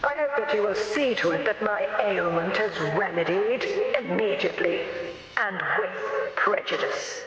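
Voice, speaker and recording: A high, imperious vengeful ruler. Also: male voice, unhurried. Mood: cold, vengeful. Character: imperious, vengeful ruler giving cold orders. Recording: muffled, echoey, very noisy recording.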